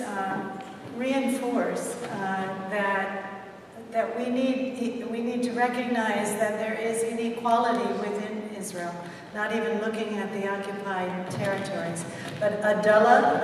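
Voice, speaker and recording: An older woman with an American accent answering questions at a public talk in an earnest, halting way. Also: mid-range voice. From United States. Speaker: guest speaker. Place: large echoing indoor hall. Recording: echoey hall, distant mic, noisy.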